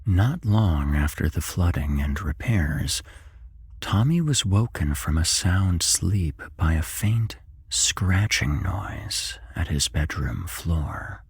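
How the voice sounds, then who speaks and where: A man narrating a horror story in a hushed, half-whispered ASMR style, measured and deliberate, close on the mic. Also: low-pitched, big pitch swings, breathy edge, no echo.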